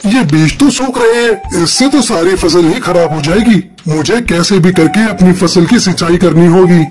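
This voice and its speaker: A man performs an anxious, humble crow from a children's cartoon. His voice is high for a male, with an earnest, plaintive delivery. Music is clearly heard behind him. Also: normal speed, natural voice. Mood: alarmed. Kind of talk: Hindi cartoon story voice acting.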